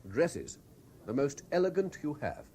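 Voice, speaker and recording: A domineering, haunted lover, insistent and brooding. His voice swings widely in pitch, in a 1970s radio play with clear background noise. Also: very animated, unhurried, warm tone. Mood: curt, commanding. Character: obsessed, domineering man haunted by a dead lover. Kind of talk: radio drama acting. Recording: noisy 1970s radio broadcast.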